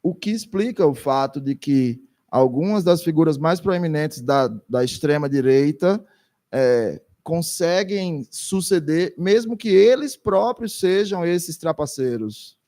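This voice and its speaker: A man works as a consecutive interpreter for a lecture, with a voice a little higher than usual for a man. He speaks hesitantly, often restarting and repeating words as he searches for phrasing. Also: expressive, a little slow, dark tone.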